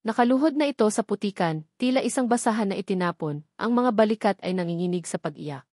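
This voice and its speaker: A woman's voice: mid-range voice, animated, fast, darkish tone, no room sound, studio quality. A woman reading a dramatic scripted love story as its narrator.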